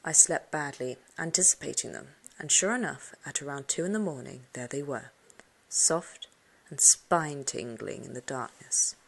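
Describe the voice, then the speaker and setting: A woman reading a children's suspense story aloud, expressively, with dramatic hushed moments. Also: a bass voice, moderate pace, vocal fry, husky. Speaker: audiobook narrator. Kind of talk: dramatic first-person storybook narration for children.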